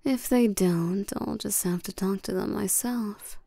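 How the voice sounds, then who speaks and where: Woman doing a slow talking vampire queen for roleplay audio, mellow n commanding, flirty menace, super dry close mic. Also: mid-range voice, vocal fry, no echo. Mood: smooth, probing. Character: regal, seductive, domineering vampire queen. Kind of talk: scripted roleplay audio drama, addressing the listener.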